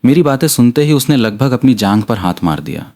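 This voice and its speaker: A man's voice: medium pitch, big pitch swings, brisk, darkish tone. A man reading scripted dramatic narration for an audio story.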